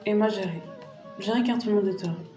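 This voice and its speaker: Actress as mellow-voiced Indian girl telling her life. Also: mid-range voice, fast talker, roomy sound, faint music behind, natural voice. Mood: joyful. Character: Indian girl growing into lonely bride, narrating her life. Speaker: lead narrator. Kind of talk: dramatic first-person scripted narration.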